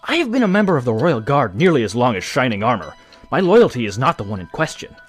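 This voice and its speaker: A man's voice: medium pitch, sing-song, fast, slightly rough, faint music behind. A man playing an indignant, insistent stallion royal guard in a dramatic audio drama, feigning loyalty while scheming.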